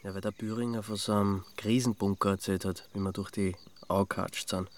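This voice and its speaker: An actor playing a grumpy, sceptical amateur sleuth in a radio drama, with an Austrian accent and a dry, sardonic way of talking. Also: male voice, lowish voice, fairly even intonation, fairly quick, close to an everyday voice. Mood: curious, dry. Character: grumpy, sceptical male city man, reluctant amateur sleuth.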